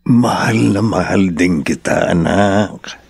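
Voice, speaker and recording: A man acting an ailing, gentle older father with a husky, gravelly voice in a Filipino radio drama. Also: sits low, takes it slowly, soft background music, exaggerated voice. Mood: loving, frail.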